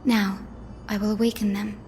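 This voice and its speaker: A woman playing a gentle, resolute young descendant of a sky people in a video game, speaking politely and earnestly. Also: mid-range voice, flat delivery, normal speed, a little hiss or hum, lightly acted. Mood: calm, reverent. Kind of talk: dramatic video game acting.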